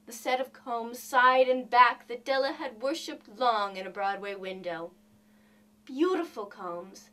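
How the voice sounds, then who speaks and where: Female narrator for literary short fiction, higher-pitched, expressive and deliberate, taking her time over each phrase. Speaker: reader of literature. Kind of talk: expressive audiobook narration of a classic short story.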